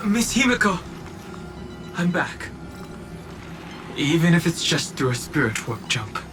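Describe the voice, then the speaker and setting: A man's voice: a little high, big pitch swings, measured pace, over music. A man playing a stoic, serious young adventurer in a video game, earnest, formal and restrained. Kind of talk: video game character voice acting.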